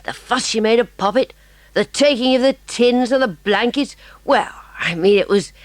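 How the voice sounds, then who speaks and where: A woman's voice: on the high side, normal speed, no echo, natural voice. A woman playing a clever, polite, eager schoolboy detective in a dramatised children's audiobook. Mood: modestly pleased. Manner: polite, explanatory, modest, slightly hesitant. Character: bright, eager, clever boy detective named Fatty.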